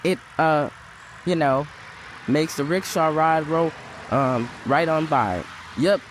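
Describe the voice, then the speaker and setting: A man's voice: on the high side, takes it slowly, slight background noise. A man playing an awkward, eager rickshaw driver in an audio drama, hesitant, stammering and nervously chatty.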